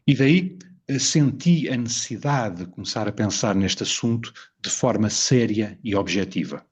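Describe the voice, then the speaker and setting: Husky-voiced Portuguese man, measured and reflective. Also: lowish voice, expressive, darkish tone. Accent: European Portuguese. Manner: measured, poses rhetorical questions. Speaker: video presenter. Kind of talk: reflective spoken essay.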